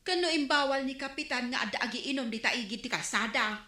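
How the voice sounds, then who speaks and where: A woman's voice: high voice, fairly quick, sharp tone, roomy sound. An actress playing a stern village councilwoman scolding drinkers in a comedic drama. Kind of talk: comedic radio drama acting.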